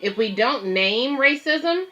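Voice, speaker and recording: Bright-voiced woman reading aloud for children. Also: on the high side, lively intonation, slow, highs cut off, soft background music. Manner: simple, instructive, addressing young listeners. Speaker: children's read-aloud host, babysitter. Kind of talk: picture-book read-aloud with friendly explanations for children.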